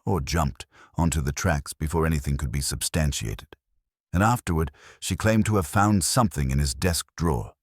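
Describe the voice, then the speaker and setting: A man voicing a prim, guarded older gentleman in a stiff, formal, reticent manner for a mystery story. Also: a bass voice, husky, dry recording, clean studio sound, full character voice.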